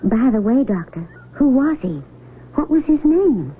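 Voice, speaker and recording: Earnest, high-voiced compassionate woman. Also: sing-song, unhurried. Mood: curious. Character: compassionate, inquisitive woman questioning a doctor. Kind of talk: 1970s radio drama dialogue. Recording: narrow-band old radio, faint noise.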